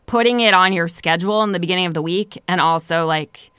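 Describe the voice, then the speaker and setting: Smooth-voiced Philadelphia woman in casual chat. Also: lowish voice, fast, fairly bright tone, no room sound, studio quality. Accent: Philadelphia American. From Philadelphia, United States. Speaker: podcast guest.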